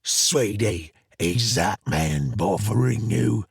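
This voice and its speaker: A flamboyant galactic celebrity in an audio drama, fussy and camp. The speech is very slow and swooping, with a gravelly, husky edge in a dry recording. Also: male voice, on the low side, lively intonation, dry recording, exaggerated voice. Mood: concerned, protective. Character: flamboyant, gossipy, fussy galactic celebrity who calls everyone sweetie.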